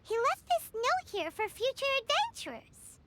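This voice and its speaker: A tiny childlike fairy girl from a fantasy video game. The voice is extremely high and squeaky, swinging wildly in an excitable, exclaiming way. There is clear noise in the recording. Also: normal speed, heavily acted. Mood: excited. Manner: exclaiming, refers to self by name.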